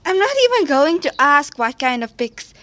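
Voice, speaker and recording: A woman's voice: high voice, sing-song, normal speed, a bit bright, no room sound. A woman playing a practical, slangy teenage best friend in an audio drama. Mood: scolding. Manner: casual, slangy.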